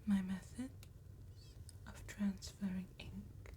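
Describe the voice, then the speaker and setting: A woman playing an elegant, courteous vampire tattoo artist in a soft-spoken ASMR roleplay, with breathy, genteel, deliberate phrasing. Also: medium pitch, fairly even intonation, lightly acted. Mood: calm, assured. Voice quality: breathy, whispered.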